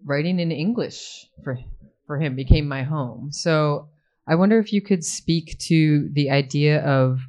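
A woman's voice: sits low, measured pace, warm tone. Rambling woman moderator. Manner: friendly, rambling questions. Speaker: panel moderator. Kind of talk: moderating a panel discussion.

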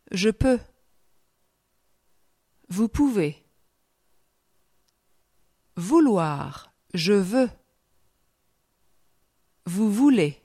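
A woman teacher reading slow, clear repetition drills for learners, with deliberate pronunciation and pauses. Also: mid-range voice, dark tone, no room sound, clean studio sound. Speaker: language teacher. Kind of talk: slow scripted language drill.

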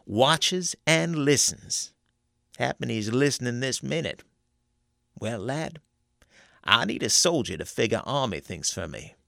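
A man's voice: medium pitch, lively intonation, a little slow, creaky, bright, forceful tone, dry recording, clean studio sound, voice pushed up, heavily acted. A man voicing a scheming backwoods conjurer with a wheedling rustic drawl, read as a story. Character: scheming backwoods male conjurer, sly and coaxing. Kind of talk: audiobook character voice.

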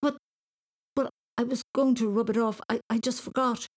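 A woman's voice: fairly high pitch, fairly even intonation, fast, pitched above the performer's own voice, natural voice. A woman voicing an earnest, mischievous young schoolboy in a storybook, protesting and pleading. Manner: stammering, pleading excuses.

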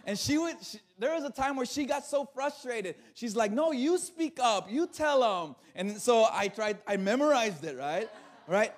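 High-voiced pastor, chatty and laughing. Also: male voice, expressive, moderate pace. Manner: conversational, asks "right?" often, laughs easily. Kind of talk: livestreamed sermon.

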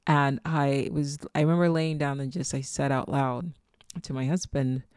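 A woman's voice: extremely low pitch, steady tone, moderate pace, a little mellow, clear, resonant tone, dry recording, studio quality. A woman with a Nigerian accent hosting a solo podcast, musing reflectively and meandering as she talks. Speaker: podcast host. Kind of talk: casual solo podcast monologue.

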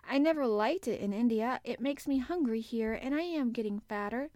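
A woman voicing an anxious, earnest young girl in an audiobook, with a halting, timid and stiffly polite delivery. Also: on the high side, lively intonation, a little mellow, no room sound, studio quality, pitched above the performer's own voice, natural voice. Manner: halting, tremulous, pleading. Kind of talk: audiobook character acting.

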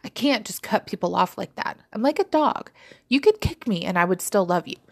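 A woman voicing a squeaky, soft-hearted, defensive sister in an audiobook story. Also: lively intonation, brisk, creaky.

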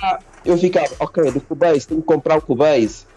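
A man's voice: a little high, brisk, loud music behind the voice. A man from Portugal, a beat producer rambling casually in a livestream interview and saying yeah a lot. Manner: relaxed, rambling, says yeah often.

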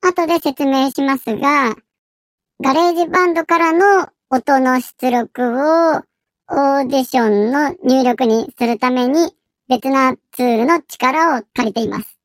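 Man as a squeaky childlike voice-changer voice. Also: a little slow, fairly bright tone, dry recording. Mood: matter-of-fact. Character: small squeaky childlike altered voice. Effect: pitch-shifted up by voice changer. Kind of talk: tech demonstration narration.

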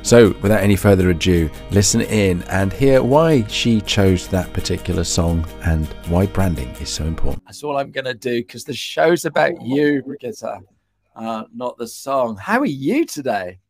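A man's voice: on the low side, animated, normal speed, a little mellow, soft background music. A man hosting an interview show, chatty and enthusiastic in a casual way. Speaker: podcast host.

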